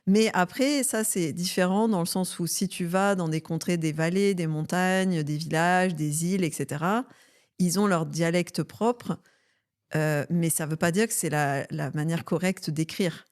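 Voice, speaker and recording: A middle-aged woman from Marseille speaks with a slightly low voice and a southern French accent. She is a podcast guest, explaining at length in an animated, storytelling way. Her talk is full of lists and asides. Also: a little slow. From France. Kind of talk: podcast conversation.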